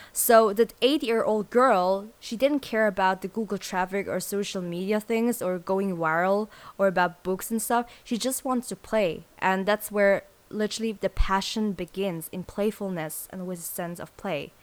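Young woman, solo podcast host, earnest and chatty, mid-pitched voice. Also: moderate pace. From born in South Korea, grew up in Switzerland. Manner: earnest, lots of "you know" fillers.

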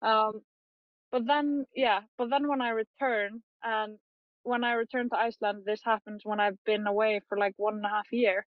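A young woman photographer chatting in an interview, talking quickly with many fillers and frequent laughs. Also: a little high, fairly even intonation, dry recording, phone-quality sound. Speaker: photographer guest. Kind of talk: casual interview chat.

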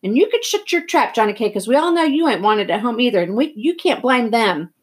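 A woman's voice: fairly high pitch, sing-song, a little fast, pitched above the performer's own voice. Woman reading as a bitter, hot-tempered teenage boy. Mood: angry, lashing out.

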